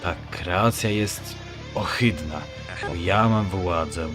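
A deep-voiced, arrogant scientist from an animated fan dub acted by a man. He is exacting and domineering, issuing clipped, contemptuous orders over prominent music. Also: slow. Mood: contemptuous, commanding.

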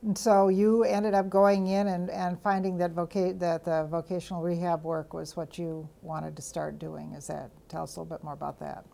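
Gravelly older woman asking interview questions. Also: on the low side, flat delivery, moderate pace, few pauses. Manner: gentle, prompting questions. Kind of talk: oral history interview. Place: dark studio, indoors.

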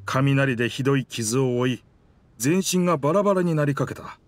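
A man's voice: medium pitch, expressive, normal speed, lightly acted. A man voicing a game character, the proud, wistful spirit of an old pirate warship, with rugged, old-fashioned, theatrical speech. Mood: bitter, sorrowful.

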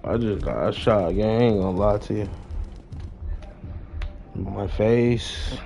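A man's voice: fairly low pitch, takes it slowly, mellow, soft background music. A young American man chatting casually on a gaming live stream, repeating phrases and using heavy street slang. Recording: noisy live stream with game sounds.

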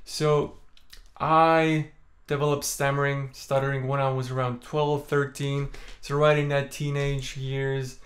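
Calm soft-spoken young man. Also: medium pitch, a little slow. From Estonia. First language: Estonian. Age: young adult. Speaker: vlogger. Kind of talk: candid personal story to camera. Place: small room indoors. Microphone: camera in front at chest framing.

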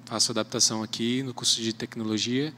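A young man answers interview questions casually. His voice is bright and crisp-edged at a middle pitch, with faint stage noise behind it. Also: level tone, quick pace. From Maranhão, Brazil. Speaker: vocal group tenor. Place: large auditorium stage. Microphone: handheld microphone.

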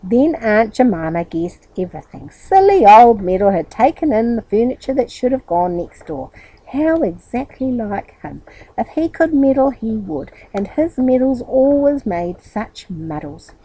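A middle-aged woman narrates a children's tale. Her voice is dark and husky, and her pitch moves about in an animated way as she reads. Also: unhurried, rough recording. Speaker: storybook reader. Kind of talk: children's story read aloud, expressive narration.

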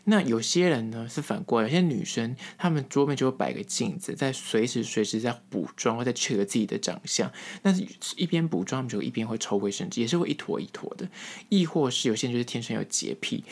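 A man with a Taiwanese Mandarin accent hosting a casual, humorous solo podcast, telling things in a chatty way with drawn-out emphasis. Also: medium pitch. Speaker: podcast host.

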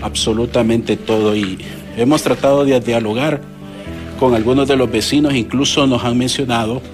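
A middle-aged man with a Honduran accent giving a halting, improvised live news report on location into a handheld microphone. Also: medium pitch, fairly even intonation, music in the background. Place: on location at a house.

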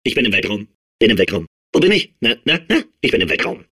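A man playing a manic, childlike robot sphere obsessed with outer space in a video game, babbling and repeating himself in a strained, husky voice. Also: mid-range voice, fast talker, full character voice. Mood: excited. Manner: excitable, babbling, repeating words compulsively. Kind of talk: video game character voice clips.

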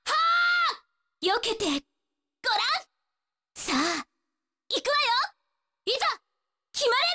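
A woman's voice: very high voice, sing-song, slow, sharp tone, big-room sound, heavily acted. A woman voicing a spirited, cocky young female martial-arts fighter in a fighting game, brash, taunting and determined. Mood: fierce battle cries, then fierce, confident. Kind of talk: Japanese fighting-game voice acting, short battle lines.

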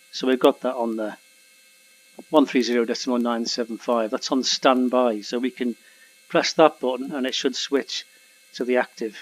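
Male instructor for an aviation tutorial, mid-pitched, casual and plain in explanation. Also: poor audio. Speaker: flight radio instructor. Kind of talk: casual instructional narration over flight simulator.

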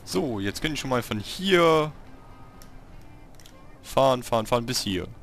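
A man giving casual gameplay commentary, talking to himself in short, halting remarks. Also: mid-range voice, animated, a bit bright, soft background music. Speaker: let's player hosting a city-building game series.

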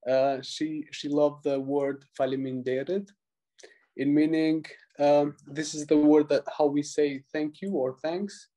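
A man, speaking over a video call from a small room, earnest and halting with frequent pauses. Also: on the high side, little pitch movement, warm tone. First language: Albanian. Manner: earnest, many pauses and restarts. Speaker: tribute speaker. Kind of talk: halting prepared remarks.

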